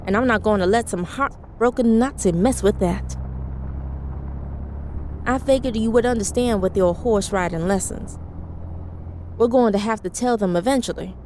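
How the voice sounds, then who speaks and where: A woman's voice: fairly high pitch, normal speed, long pauses, a little mellow, a little hiss or hum, natural voice. An actress playing a firm, defiant woman who leads a wartime team in a pulp adventure drama. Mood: frank. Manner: firm, confident. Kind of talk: pulp adventure drama acting, some narration.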